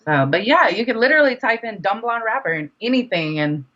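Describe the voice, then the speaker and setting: A woman's voice: fairly high pitch, very animated, brisk, a bit bright, lo-fi sound. A woman chatting casually as an interview guest, with an informal, slangy, enthusiastic manner. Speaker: interview guest, independent rapper.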